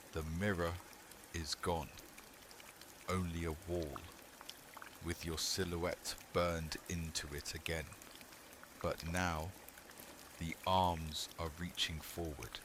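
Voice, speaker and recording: A man reading a scripted second-person horror story in ASMR style, hushed and measured, in short phrases with long pauses. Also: deep voice, steady tone, a little hiss or hum. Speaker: horror sleepcast narrator.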